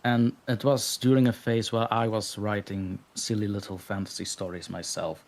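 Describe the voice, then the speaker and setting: Belgian man, low voice, modest interview guest who laughs easily. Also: level tone, normal speed, darkish tone. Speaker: guest narrator. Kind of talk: casual interview chat.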